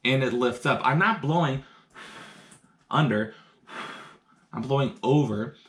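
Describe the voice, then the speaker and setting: A man with a middle-pitched voice runs a home science lesson over a live stream, explaining each step in an easy, chatty way. He sounds keen and a little excited as he carries out simple experiments in front of the camera, and his voice drifts closer and farther as he moves around. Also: measured pace. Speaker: science teacher. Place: home, indoors.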